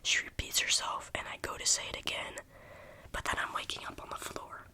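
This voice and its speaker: A young adult telling a rambling, casual story in a soft ASMR whisper, with a slight lingering cough. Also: a little fast. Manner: rambling, casual, full of "like" fillers.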